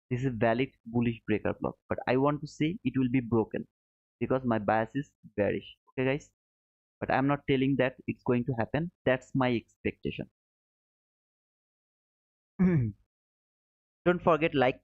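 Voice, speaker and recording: A friendly man chats through a live trading stream, thanking viewers and calling them brother. His voice sits in a middle register. The sound is a little muffled and dry. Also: no room sound. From Bangladesh. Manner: friendly and chatty, calls viewers brother, says boom. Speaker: livestream trading host. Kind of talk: casual live-stream commentary, reading viewer chat. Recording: dull, slightly muffled, dry.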